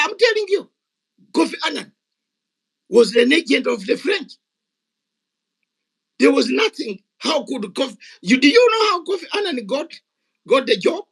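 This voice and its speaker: A man's voice: very high voice, gravelly, a bit bright, breathy edge. An older man speaking Ugandan English, giving an impassioned, unscripted speech at a gathering. He is fiery and emphatic, repeating words for force. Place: gathering of activists.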